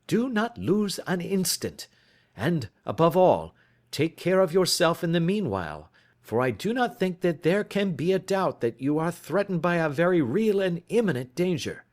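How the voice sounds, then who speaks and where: A man voicing a brisk, commanding Victorian detective in an audiobook, speaking precisely and emphatically. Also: a little high, sing-song, darkish tone, voice pushed up. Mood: urgent, commanding. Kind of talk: audiobook character voice.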